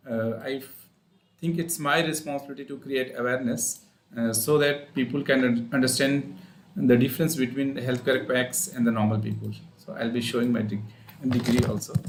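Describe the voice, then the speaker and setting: A man's voice: medium pitch, very noisy. An Indian-accented man, a speech therapist, hosting a live online session with many fillers and restarts. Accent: Indian English. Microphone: online call. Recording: roomy echo.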